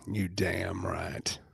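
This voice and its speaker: A man voicing a groggy, flirtatious male lover with a thick, gravelly murmur in a romance reading. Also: low voice, no echo, clean studio sound, voice pushed down, full character voice.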